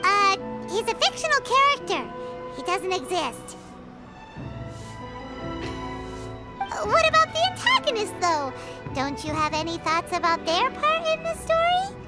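A woman voicing a tiny, chirpy and blunt fairy-like girl companion in a video game, with a squeaky, high voice. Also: sing-song, moderate pace. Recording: game cutscene with loud background music. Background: loud game music.

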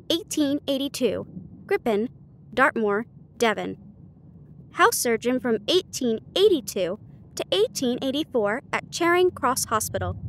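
Woman, storybook narrator, fairly high voice, measured and careful, faint noise in the background. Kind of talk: storybook narration, read aloud.

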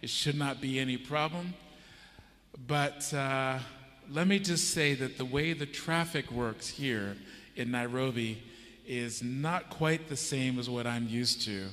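A man's voice: medium pitch, slow pace, a bit bright. A middle-aged American man preaching a sermon on a church stage, telling a story and asking the audience questions. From United States. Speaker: pastor. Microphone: handheld microphone. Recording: church hall reverb.

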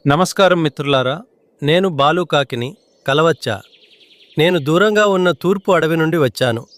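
A man's voice: fairly high pitch, moderate pace, a bit bright, no room sound, close to an everyday voice. A man voicing a polite, formal owl matchmaker in a children's cartoon. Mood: courteous. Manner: courteous, formal. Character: polite male owl matchmaker from a faraway forest.

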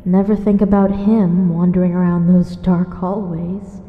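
A woman's voice: on the low side, level tone, unhurried, close to an everyday voice. A woman playing a cruel phantom young woman in an audio drama, mocking and sardonic as she haunts a survivor. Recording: cavernous reverb, thin phone-like band.

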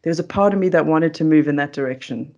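A woman's voice: low voice, quick pace, a little mellow, muffled recording. A middle-aged woman with a South African accent, telling stories casually and self-deprecatingly as an interview guest. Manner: chatty, self-deprecating, giggly. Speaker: interview guest, food coach. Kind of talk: casual conversational storytelling. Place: indoors, remote video call.

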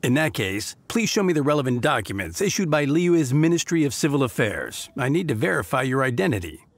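A man's voice: mid-range voice, very animated, fairly quick, slight background noise. A man voicing a formal, dutiful, by-the-book city gate knight in a video game, courteous and officious. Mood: officious scrutiny. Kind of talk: video game character acting.

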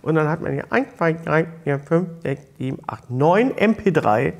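A man's voice: on the high side, lively intonation, moderate pace, gravelly, a little mellow. A middle-aged man hosting a talk show, presenting in a casual, jokey, enthusiastic way. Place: small studio set, seated at a table. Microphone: lapel mic.

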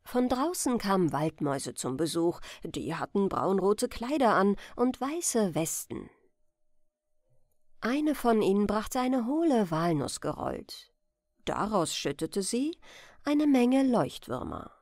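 A woman's voice: medium pitch, very animated, slow pace, a little mellow, dry recording, studio quality. A woman narrating a bedtime fairy tale as storyteller, reading expressively and with care.

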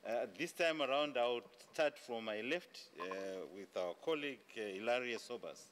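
A middle-aged man chairing a panel in a conference room, speaking in halting phrases into a desk microphone. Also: medium pitch, lively intonation, fairly bright tone. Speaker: panel moderator. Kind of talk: chairing a formal panel discussion. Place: large indoor conference room.

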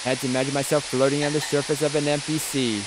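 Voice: medium pitch, level tone, quick pace, background noise. A let's play host reading visual novel narration aloud, with casual asides.

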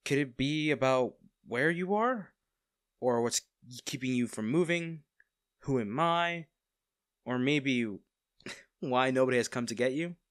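A smug villain man, acted by a man in an intimate audio drama. He has a mid-pitched voice and lingers over coy, teasing pauses, hiding his fondness. The sound is dry and close. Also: expressive, no room sound, lightly acted. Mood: smug, playful. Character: smug, teasing villain man, secretly fond of captive hero. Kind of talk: intimate scripted audio roleplay.

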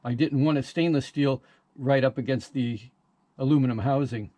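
A man's voice: medium pitch, fairly even intonation, moderate pace, warm tone. An older man giving a casual, plain-spoken how-to narration in a matter-of-fact way. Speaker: sailor demonstrating boat engine repair.

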